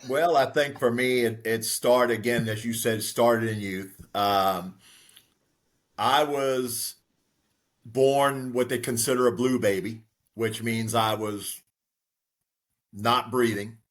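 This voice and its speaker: A man in his sixties, a real estate broker, telling his life story as an interview guest in a steady, reflective way with frequent fillers. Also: medium pitch, takes it slowly. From United States.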